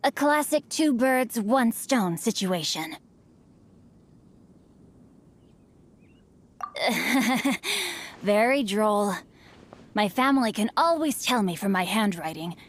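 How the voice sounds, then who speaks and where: A man's voice: high-pitched, lively intonation, takes it slowly, a bit bright, exaggerated voice. An actor voicing a witty, refined young male swordsman heir in a game, speaking in a mannered, old-fashioned way. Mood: wry. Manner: archaic, mannered and wry.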